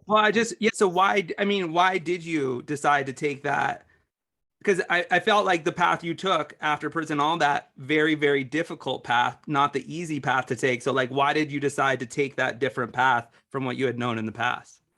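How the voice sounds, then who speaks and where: A man with a somewhat higher voice, guesting in a conversational interview. He explains research earnestly, asks probing questions and leans on "like". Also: steady tone, moderate pace, bright, forceful tone. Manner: earnest, explanatory, uses "like" often. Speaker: author guest.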